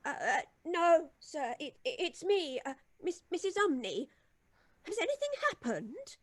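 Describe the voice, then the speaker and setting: A woman's voice: sits high, very animated, unhurried, a little hiss or hum. A woman voicing an elderly, anxious, deferential housekeeper, in old-time radio drama style, stammering and ominous. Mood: nervous. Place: small home recording booth. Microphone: close studio microphone, headset monitoring.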